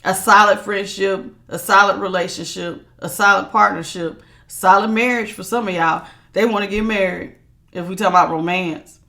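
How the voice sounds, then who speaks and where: A woman's voice: medium pitch, fairly even intonation, moderate pace, gravelly, pushed and bright. A woman giving a casual tarot reading to her viewers, with a strong, projecting voice and an emphatic, authoritative way of talking that repeats phrases for stress. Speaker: tarot reader.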